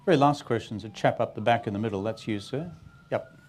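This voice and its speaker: Male moderator, lowish voice, wry and informal, slight noisy ambience. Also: quick pace, a little mellow. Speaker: forum moderator. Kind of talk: casual, jokey moderating.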